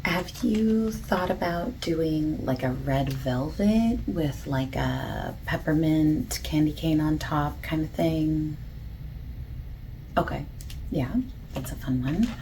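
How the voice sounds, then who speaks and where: A woman playing a friendly, patient bakery salesperson in a soft-spoken ASMR roleplay, often breathy and near a whisper, gently overexplaining. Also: takes it slowly. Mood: soft, accommodating. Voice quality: low-pitched, creaky, soft. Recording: lo-fi hissy close recording, keyboard typing.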